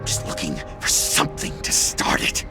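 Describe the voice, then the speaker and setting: An actor playing a lovesick, unhinged, childlike young man in a video game, halting and breathy in a theatrical delivery. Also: natural voice. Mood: brooding. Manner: halting, breathy, swooping between whispers and outbursts. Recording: music behind the voice.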